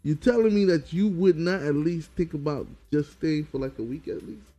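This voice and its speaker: A man's voice: on the high side, normal speed, mellow, a little hiss or hum. A man co-hosting a relationship podcast in casual chat, pressing his point and repeating it. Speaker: podcast co-host.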